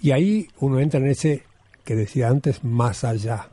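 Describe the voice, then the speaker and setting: Husky, mellow Argentine man, measured and searching. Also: mid-range voice. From Buenos Aires, Argentina. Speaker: Zen monk, interview guest. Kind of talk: reflective conversation.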